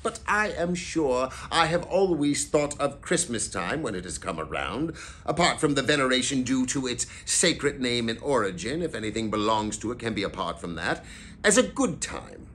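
A man voicing a cheerful, earnest young nephew in a storybook, speaking with eager, warm-hearted sincerity. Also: medium pitch.

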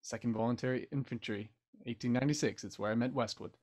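A man's voice: mid-range voice, fairly even intonation, quick pace, a little mellow, close to an everyday voice. Man playing a breezy, blunt old army doctor. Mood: matter-of-fact. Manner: breezy, matter-of-fact, folksy. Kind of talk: audio drama acting.

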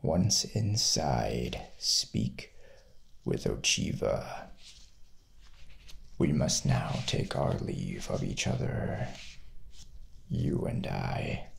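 Hushed, gravelly assassin cult leader, acted by a man. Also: extremely low pitch, big pitch swings, slight background noise, heavily acted. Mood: grave, commanding. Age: young adult. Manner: hushed, half-whispered, slow, deliberate. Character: sinister, courteous male assassin cult leader. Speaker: ASMR roleplayer. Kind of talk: ASMR roleplay, scripted.

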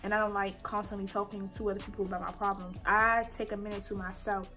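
A young woman in her twenties chatting casually and warmly while she does her makeup, her nose stuffy from allergies. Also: medium pitch, little pitch movement, fast talker, soft background music. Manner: chatty, heartfelt, colloquial. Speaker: beauty vlogger. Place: bedroom, indoors.

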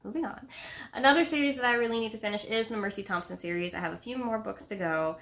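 A woman in her thirties with a mid-pitched voice talks in a casual, bantering way. She sounds playful and gushes freely, as a vlogger chatting at home to a camera a short distance away. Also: fast, fairly bright tone. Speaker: book vlogger co-host. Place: small room at home, indoors.

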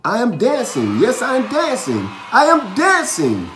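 A man's voice: very high-pitched, wildly swooping pitch, measured pace, background noise, voice pushed up, heavily acted. A man voicing a clumsy, tender-hearted male giraffe in a storybook, earnest and emotional. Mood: elated. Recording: echoey room.

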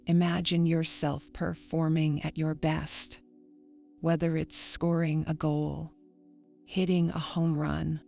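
A woman reading a scripted guided meditation in a calm, soothing, measured manner. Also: low voice, gravelly. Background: faint music.

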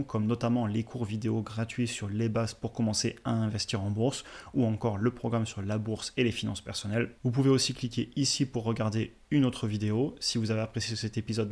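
A man in his thirties hosting a finance channel, explaining investing to viewers in a steady, direct way, recorded on a close studio mic in a small room. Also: lowish voice. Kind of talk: educational commentary over slides. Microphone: studio mic on a boom in front.

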